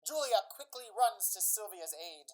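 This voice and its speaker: A woman giving scripted dramatic narration as the storyteller of a radio play, in measured phrases. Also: mid-range voice, animated, darkish tone.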